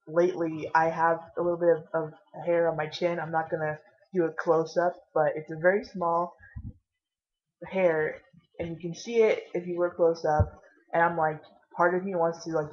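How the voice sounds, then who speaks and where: A tired, caffeinated woman vlogging casually with a low voice, rambling and swearing now and then. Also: lo-fi sound.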